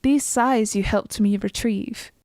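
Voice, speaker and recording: A woman's voice: medium pitch, slow, darkish tone, natural voice. A woman voicing a wistful fantasy companion in scripted game dialogue, recalling her past. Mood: wistful, measured.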